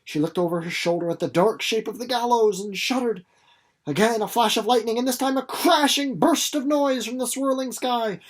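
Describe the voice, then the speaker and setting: Audiobook narrator, mid-pitched voice, dramatic literary storytelling with suspenseful slowing and softening. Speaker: audiobook narrator reading a novel chapter.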